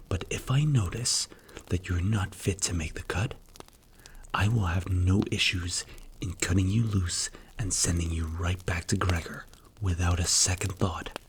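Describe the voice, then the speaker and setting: A man acting as a strict mafia boss with a low, soft, near-whispered voice. He is blunt, measured and commanding, in the close style of an ASMR roleplay. A faint hiss sits behind the words. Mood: stern, threatening.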